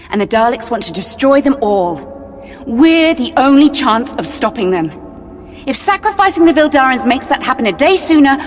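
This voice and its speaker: An actress in an audio drama playing a hard-headed, pragmatic companion who argues and challenges. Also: female voice, a little high, natural voice. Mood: insistent. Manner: challenging, argumentative.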